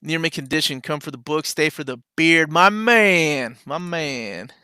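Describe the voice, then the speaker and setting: Bright-voiced man chatting about comics. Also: on the high side, a little slow, clean tone, no echo, studio quality. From Peru. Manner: relaxed and chatty, reads viewer questions aloud and answers with enthusiasm. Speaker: comics channel host. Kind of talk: casual live Q&A chat. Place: home room.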